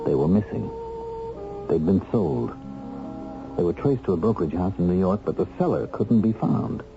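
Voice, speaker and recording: A deep-voiced, embittered ex-convict husband in a radio play. He speaks earnestly and methodically, set on clearing himself. The recording is dulled and old, with music in it. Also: sing-song, moderate pace, phone-quality sound. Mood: earnest explaining. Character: bitter, determined wronged bookkeeper, freed convict husband. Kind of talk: radio drama acting.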